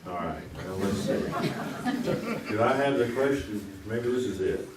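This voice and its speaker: A man's voice: on the low side, expressive, measured pace, a loud crowd. A man playing a folksy, wisecracking and baffled 1950s radio game show host on a live stage. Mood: wry, muddling through. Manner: folksy, joking, feigned bewilderment. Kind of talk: old-time radio comedy hosting. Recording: echoey, distant, noisy stage recording.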